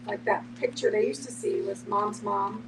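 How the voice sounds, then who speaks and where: A woman's voice: mid-range voice, level tone, moderate pace. A woman explaining things casually and conversationally in a group discussion. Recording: distant mic with clear noise and hum.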